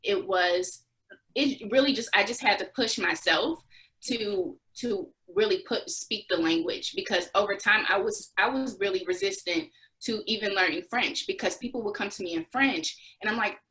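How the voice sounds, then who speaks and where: A woman's voice: mid-range voice, normal speed, bright, forceful tone, rough recording. Young woman telling stories in an interview, lots of restarts, mid voice. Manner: storytelling with restarts and laughs. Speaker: interviewee, former volunteer. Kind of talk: conversational interview answers.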